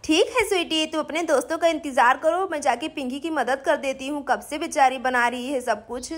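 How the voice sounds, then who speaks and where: A woman's voice: high voice, a little fast, natural voice. A woman voicing a cheerful, doting mother who chats encouragingly in a children's doll story. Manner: encouraging, chatty.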